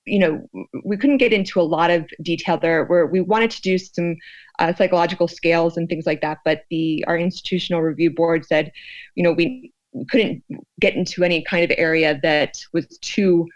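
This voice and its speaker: A woman explaining her research in an interview, in a thoughtful, halting, conversational way. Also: lowish voice, steady tone, highs cut off. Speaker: guest, sociologist and fear researcher.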